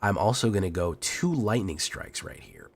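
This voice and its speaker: A young man hosting a card game channel, talking through his deck in a playful showman patter while sick, his voice rough. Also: on the low side, lively intonation, normal speed, slight background noise. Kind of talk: casual deck walkthrough.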